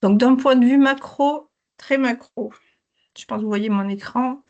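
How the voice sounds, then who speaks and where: A hoarse woman who manages a claims team, steadily explaining procedures in a training session over a video call. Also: fairly high pitch, measured pace, a little mellow, lo-fi sound.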